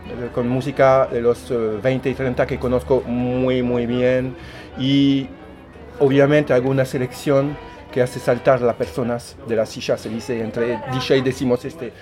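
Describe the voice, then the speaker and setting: A man's voice: mid-range voice, darkish tone. A man from France with a French accent, a tango DJ answering radio interview questions in a hesitant way, repeating words often. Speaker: tango DJ and milonga organizer.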